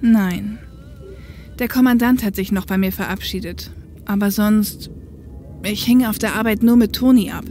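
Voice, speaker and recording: A woman's voice: medium pitch, normal speed, darkish tone, a little hiss or hum, natural voice. A woman acting a dejected, bitter jobless former police copilot in an audio drama, with a glum, dry delivery. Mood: dejected, resigned.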